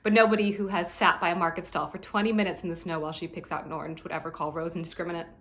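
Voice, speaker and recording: A woman performing a dramatic monologue as a grieving young friend giving a halting, tender eulogy. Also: on the low side, level tone, fairly bright tone, slight background noise, lightly acted. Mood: subdued grief. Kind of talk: dramatic monologue, funeral speech.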